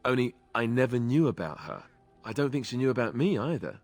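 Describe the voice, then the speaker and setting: An actor playing an earnest young warrior companion in a fantasy video game, speaking casually and a little hesitantly. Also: male voice, medium pitch, sing-song, brisk, a little mellow, no echo. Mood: confiding, hesitant. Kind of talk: video game dialogue acting.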